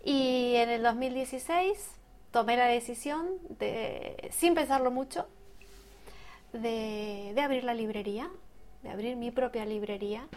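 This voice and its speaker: A woman co-hosts an informal podcast in a fairly high voice. She talks off the cuff, searching for her words and often repeating a phrase before moving on. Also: measured pace, a little mellow. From Argentina. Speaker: bookseller and podcast co-host. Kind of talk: casual unscripted podcast chat.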